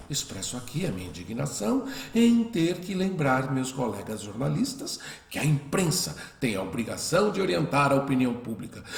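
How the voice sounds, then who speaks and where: Man acting high-voiced, emphatic father, radio drama. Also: creaky, full character voice. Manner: emphatic, declamatory. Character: indignant, protective father of a grown son. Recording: roomy echo, faint music behind.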